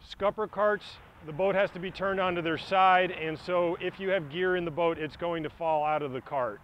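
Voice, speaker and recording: A middle-aged man with a fairly high voice walks through a hands-on product demo outdoors. He explains each step plainly and practically as he lifts and straps the gear, recorded in an open grassy yard. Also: steady tone, normal speed, highs cut off, slight background noise. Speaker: product demonstrator for a kayak shop.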